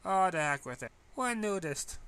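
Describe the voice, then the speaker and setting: Male reader as prim teenage girl, raised pitch, bright, studious, indignant, defensive, story reading. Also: very animated, moderate pace, smooth. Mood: reluctant. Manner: indignant, defensive, clipped protests.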